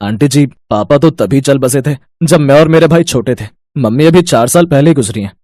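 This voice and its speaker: A man's voice: mid-range voice, normal speed, dark tone, no room sound, clean studio sound, close to an everyday voice. A man playing a polite, earnest young bachelor neighbour in a family story, speaking respectfully. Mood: earnest, wistful. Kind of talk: Hindi family storybook dialogue.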